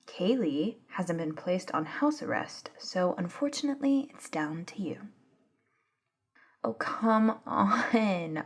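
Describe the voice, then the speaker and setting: A woman's voice: mid-range voice, darkish tone, breathy edge, heavily acted. A woman acting in an ASMR audio drama as a brash, defiant young woman with a sarcastic, impatient, informal manner.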